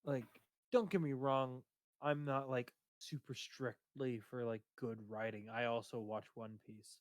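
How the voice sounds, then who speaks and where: A man with a booming voice chatting casually on a stream, rambling and hesitant, with many fillers and restarts. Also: steady tone, unhurried, no room sound, clean studio sound. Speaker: streamer discussing his game character. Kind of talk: casual chat.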